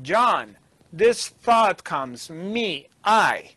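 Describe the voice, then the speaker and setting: A middle-aged man giving a spiritual discourse as a teacher, emphatic and deliberate, with pauses. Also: sits high, big pitch swings, bright, forceful tone, slight background noise. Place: small dark indoor studio. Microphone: lapel mic.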